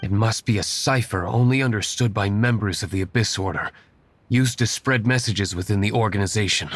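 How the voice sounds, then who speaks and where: An actor playing a cool, guarded young aristocratic vigilante in a video game, measured and matter-of-fact. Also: male voice, on the low side, slightly rough, dry recording. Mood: serious, resolute.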